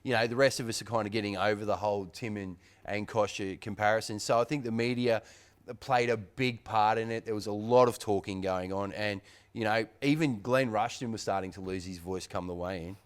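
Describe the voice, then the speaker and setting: A man's voice: lowish voice, steady tone, a little fast, clean tone. A man hosting a boxing show, leading an upbeat casual rundown of fight week. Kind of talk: casual sports chat.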